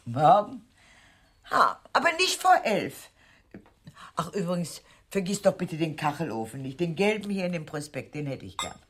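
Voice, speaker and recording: An actress playing a whimsical, superstitious aunt of about sixty in a German radio drama. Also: female voice, lowish voice, big pitch swings, normal speed, fairly bright tone, husky, full character voice. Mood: cosy, demanding. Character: eccentric sixty-year-old aunt, superstitious, whimsical and blithe.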